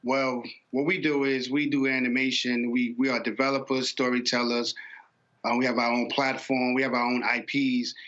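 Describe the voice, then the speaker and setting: A man with a forceful, bright voice at an ordinary male pitch explains things as an interview guest. The sound is a little dull and lo-fi, like a remote connection. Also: flat delivery, normal speed. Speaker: animation entrepreneur, interview guest.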